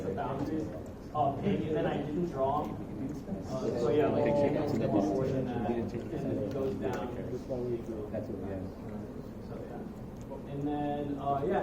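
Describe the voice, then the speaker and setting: A young man casually demonstrating his student project in an informal, halting way, using "like" a lot. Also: fairly high pitch, heavy background noise. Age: young adult. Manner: informal, halting explanation peppered with "like". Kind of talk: casual live demo. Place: busy echoey indoor showcase. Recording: roomy, distant mic amid loud crowd chatter.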